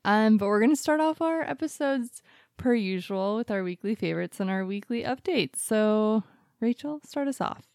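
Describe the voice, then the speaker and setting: A woman's voice: fairly high pitch, expressive, normal speed, darkish tone, no echo, clean studio sound. A young woman co-hosting a podcast in upbeat, bubbly casual chat. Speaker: podcast co-host.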